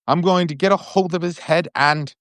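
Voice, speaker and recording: A man's voice: fairly high pitch, steady tone, normal speed, a bit bright, dry recording, clean studio sound, voice pushed up, natural voice. A man voicing a hot-tempered, blunt schoolboy in a bedtime storybook reading. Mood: angry, defiant. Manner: indignant, blunt. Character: Ron, hot-tempered schoolboy, awkward and defiant.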